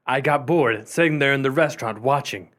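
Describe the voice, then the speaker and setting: A man acting as a nervous, superstitious gentleman in a mystery radio play, with a fussy, formal and exclamatory delivery. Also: mid-range voice, a little fast, natural voice. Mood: curious, startled.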